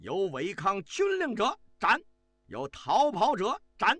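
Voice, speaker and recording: A man's voice: high voice, wildly swooping pitch, takes it slowly, sharp tone, dry recording, pitched above the performer's own voice, exaggerated voice. A man voicing a stern male general who barks severe military orders. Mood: commanding. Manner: commanding, severe.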